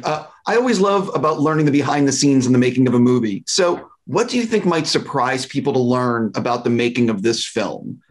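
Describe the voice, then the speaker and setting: A man's voice: medium pitch, lively intonation, a little fast, few pauses. A man in his forties conducting a casual interview over a video call from a room at home. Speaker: entertainment interviewer. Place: small room at home, indoors.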